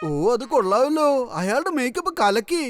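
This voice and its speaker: A woman's voice: high voice, animated, normal speed. A woman voicing a helpful sidekick in a children's cartoon, in an exaggerated style. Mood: cheerful. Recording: loud music under the voice.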